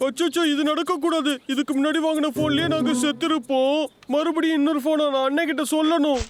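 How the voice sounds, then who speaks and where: A man voicing an eager, bumbling younger brother bear in a cartoon dub, excitable and childlike. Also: very high-pitched, fast, background noise. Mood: alarmed. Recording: music bed behind the voice.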